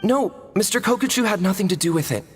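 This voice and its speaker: A woman's voice: medium pitch, expressive, fast, close to an everyday voice. An actress voicing a timid, apologetic young boy in an anime fantasy game, hesitant and earnest, often stammering. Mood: remorseful, earnest. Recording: long reverb, music underneath.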